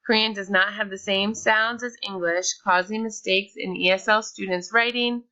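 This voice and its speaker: Woman presenter, bright tone, lo-fi mic, reads her script with small restarts. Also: mid-range voice, fairly even intonation, normal speed, clean tone. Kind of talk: read-aloud slide presentation.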